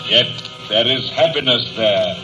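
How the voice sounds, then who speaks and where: An actor playing a solemn, commanding ghostly spirit guide, declaiming in a stately, measured way in a 1930s radio drama. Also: male voice, mid-range voice, music in the background, natural voice. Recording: muffled, noisy old radio broadcast recording.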